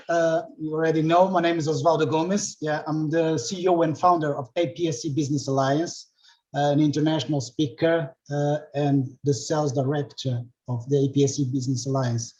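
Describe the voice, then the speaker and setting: Hesitant higher-voiced man on a call. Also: steady tone, normal speed, a little mellow. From Portugal-born, of Cape Verdean parents. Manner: hesitant, many fillers and restarts. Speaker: CEO and founder. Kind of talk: self-introduction on a video call. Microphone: video call. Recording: slightly dull video-call audio.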